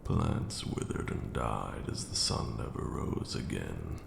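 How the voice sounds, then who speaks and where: An ancient vampire lord speaks in an extremely low, heavily creaking voice. He is brooding, grand and formal, hushed as in an ASMR roleplay, slowly telling dark tales of old. The sound rings in a long, echoey reverb. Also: sing-song, background noise, heavily acted. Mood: grim, ominous. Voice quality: gravelly, breathy drops into near-whisper. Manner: slow, deliberate, hushed, formal archaic phrasing. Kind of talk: ASMR roleplay monologue, dramatic storytelling.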